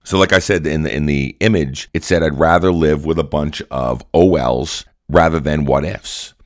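Solo podcast host, male, deep and raspy with a cold, earnest pep-talk delivery. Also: normal speed, no room sound, studio quality. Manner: earnest, repetitive, coaching tone.

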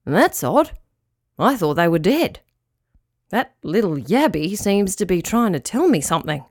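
A woman's voice: medium pitch, sing-song, unhurried, darkish tone, dry recording, studio quality. A woman voicing a kindly, puzzled dog owner, a man in a children's story, with a coaxing manner.

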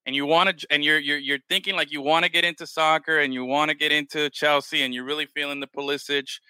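Casual male podcast host, very bright and forward tone, dry close sound, rambling delivery. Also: on the high side, little pitch movement, fast, clear, resonant tone, no room sound. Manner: loose, rambling, heavy on "man" and "bro". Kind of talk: casual chat.

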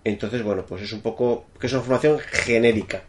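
A man's voice: medium pitch, fairly quick, background noise. Guest, male, Madrid accent, conversational, speaks over a remote call, searches for words as he talks. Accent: Madrid Spanish. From Spain. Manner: thinking aloud, many fillers and restarts. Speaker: guest, technical architect. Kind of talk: remote interview answers.

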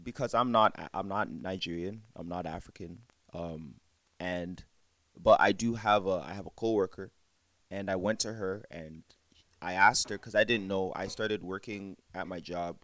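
A man hosting a podcast from his home in casual chat, relaxed and explaining things plainly. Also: deep voice, normal speed, dry recording. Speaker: podcast host.

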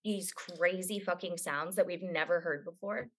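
A woman's voice: on the low side, fairly even intonation, moderate pace, a bit bright. A woman hosting a casual chat interview, talking in an effusive, informal way with some swearing. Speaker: podcast host.

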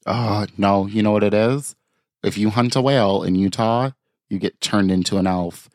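A man's voice: lowish voice, normal speed, a little mellow, no echo, clean studio sound. A man co-hosting a podcast, chatting casually and riffing on absurd scenarios with plenty of swearing. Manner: jokey, riffs on absurd scenarios, swears freely. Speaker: podcast co-host. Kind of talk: casual comedic chat.

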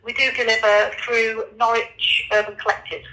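A man's voice: sits high, level tone, normal speed, bright, forceful tone, slight background noise, rough recording. A man from Leeds with a Leeds accent, a tofu business owner chatting casually in an interview, repeating words and restarting often. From Leeds, England.

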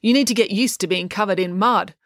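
A woman's voice: medium pitch, a little fast, no echo, studio quality, natural voice. A woman voicing a fierce, bossy schoolgirl in a children's storybook, with a blunt, sarcastic, commanding manner. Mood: bossy, scornful. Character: bossy, scornful, fierce school-age girl.

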